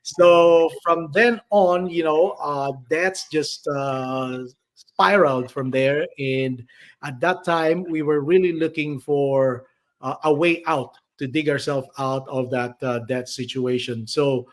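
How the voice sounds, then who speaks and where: The fairly high-voiced Filipino man you would hear as the guest coach on an online business podcast, pausing to find his words and checking in with the listener. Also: steady tone. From Philippines. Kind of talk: conversational interview answers.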